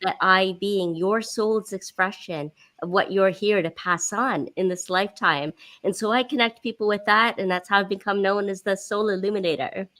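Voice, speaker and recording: A woman's voice: mid-range voice, moderate pace, few pauses, a bit bright, no echo. Earnest Canadian woman, dry sound. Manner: earnest, inspirational. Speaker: coach. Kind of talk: self-introduction on a panel.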